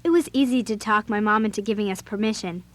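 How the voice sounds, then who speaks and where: A woman playing an earnest, bossy young girl babysitter in an audio drama, with an expressive, youthful way of talking. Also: mid-range voice, fast talker, close to an everyday voice. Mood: proud, reflective. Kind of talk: audio drama acting and first-person narration.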